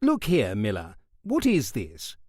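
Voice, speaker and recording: A man's voice: fairly low pitch, wildly swooping pitch, slow, a little mellow, breathy edge, no echo, clean studio sound, full character voice. A man voicing a blunt, defensive man at a bar who speaks brusquely.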